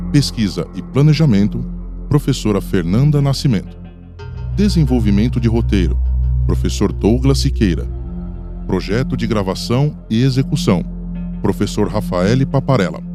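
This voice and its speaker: A Brazilian man announces programme credits in a scripted radio read. His mid-pitched voice sits over clearly audible music. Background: music behind the voice.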